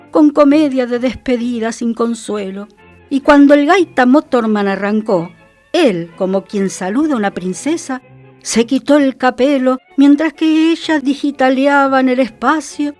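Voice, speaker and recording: A woman's voice: sits high, normal speed, darkish tone, no room sound, faint music behind, lightly acted. A woman performing a sardonic old-time porteño storyteller, in a dramatic, admonishing monologue with a Buenos Aires accent. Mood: wry. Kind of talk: theatrical monologue in tango slang.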